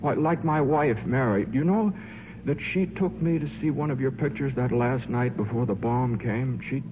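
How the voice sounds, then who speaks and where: An actor playing a shy, earnest scientist in a 1950s radio drama, speaking in a halting, stammering, bumbling way. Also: male voice, mid-range voice, close to an everyday voice. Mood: heartfelt. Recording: muffled, noisy 1950s radio recording.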